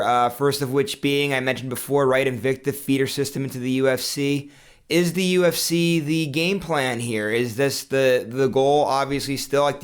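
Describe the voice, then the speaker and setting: A man's voice: medium pitch, smooth. A man hosting an interview, asking long, rambling questions full of fillers. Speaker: interview host.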